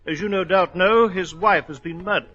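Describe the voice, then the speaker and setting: Earnest gentleman sidekick, high sharp voice, formal, somewhat baffled, muffled 1940s radio drama sound. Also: normal speed. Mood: earnest, puzzled. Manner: fussy, questioning, formal. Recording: dull old radio recording.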